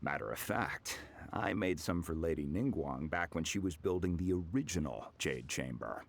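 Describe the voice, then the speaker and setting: An actor playing a humble, friendly blacksmith in a video game, with a folksy, hesitant way of talking. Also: male voice, sits low, animated, moderate pace, vocal fry, a little hiss or hum. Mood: helpful, musing. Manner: hesitant, folksy, chuckling, colloquial. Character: humble, friendly male blacksmith, a bit slow to catch on.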